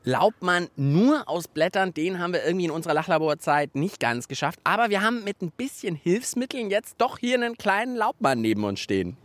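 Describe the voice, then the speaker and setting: A man's voice: high voice, big pitch swings, fairly quick, hardly any pauses, no room sound. A man hosting a children's science show, chatting cheerfully and laughing easily. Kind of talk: casual presenting for children.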